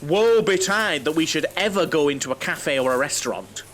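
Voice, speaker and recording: Lonely naive guy, high swoopy voice, rambling and oversharing, audio play. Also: lively intonation, a little fast, a bit bright, a little hiss or hum. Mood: reminiscing, rambling. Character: chatty, naive, lonely middle-aged bachelor, mother-obsessed coach passenger. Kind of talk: audio drama comedy acting.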